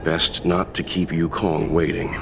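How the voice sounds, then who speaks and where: A male actor playing a polite, reflective traveler with gentle dry humor in a video game. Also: low-pitched, flat delivery, unhurried, gravelly, noisy background. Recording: music behind the voice.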